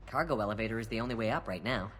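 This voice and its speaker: An actor playing a blunt, bitter, overworked construction worker in a video game. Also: male voice, on the low side, rapid-fire, fairly bright tone, husky.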